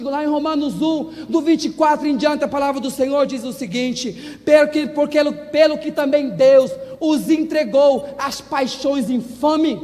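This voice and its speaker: Very high-pitched Brazilian male preacher, reverberant hall. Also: a little mellow. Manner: fervent, emphatic, repetitive declamation. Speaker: preacher giving testimony. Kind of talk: evangelical sermon and personal testimony.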